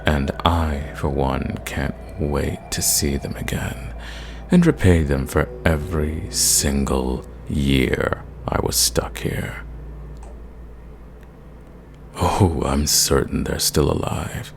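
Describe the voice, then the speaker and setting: Sadistic old vampire master, played by a man: bottomless pitch, unhurried delivery, silky condescension, ASMR roleplay intimacy. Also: noisy background, exaggerated voice. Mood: vengeful. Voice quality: deep, gravelly. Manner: theatrical, condescending, savoring words, dropping into breathy whispers. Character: sadistic, possessive centuries-old male vampire, silky and menacing.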